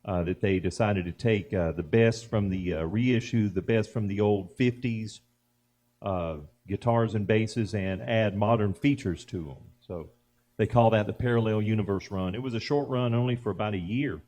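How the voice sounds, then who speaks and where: A man speaking as a musician guest, explaining things casually with hesitant pauses. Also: lowish voice, a little mellow. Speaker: musician guest, bass player. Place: small stage at a live music show. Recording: faint hum and background noise.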